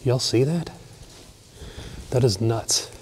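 A man's voice: medium pitch, takes it slowly, a little mellow, a little hiss or hum. A man, filming as he explores an abandoned hospital and talking to viewers in awe. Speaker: urban explorer vlogger. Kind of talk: narration and on-site exploring commentary. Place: dark abandoned hospital building, indoors.

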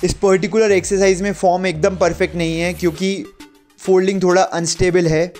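A young man explains exercises as a home workout instructor. His voice sits a little high for a man, and he slips English gym terms into his narration. Soft music plays behind him. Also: level tone, fairly quick. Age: young adult.

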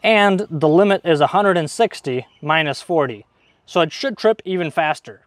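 A man's voice: fairly high pitch, animated, moderate pace, dry recording. A man in his thirties giving an informal, step-by-step hands-on demonstration in plain language, speaking into a clip-on mic. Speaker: DIY repair video host. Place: outdoors in a leafy garden at a table. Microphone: clip-on wireless mic on the chest.

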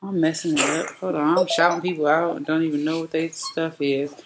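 A woman's voice: low-pitched, little pitch movement, a little fast, noisy background. Chatty woman on a webcam. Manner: chatty, rambling, lots of fillers. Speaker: vlogger and boutique owner. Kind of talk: casual home vlog chat. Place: living room at home, indoors. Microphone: laptop webcam at close range.

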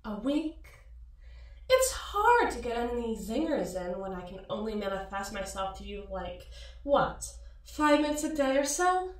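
A woman's voice: fairly high pitch, very animated, a little slow. A woman playing a sly, seductive, mocking mirror alter ego in an ASMR roleplay, cooing and teasing. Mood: scornful. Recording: echoey, distant-sounding voice.

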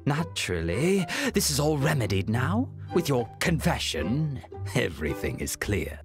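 An actor voicing a smug, menacingly polite financial official, speaking in formal, drawn-out theatrical phrases for a video game. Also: male voice, mid-range voice, sing-song, over music. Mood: gloating formality.

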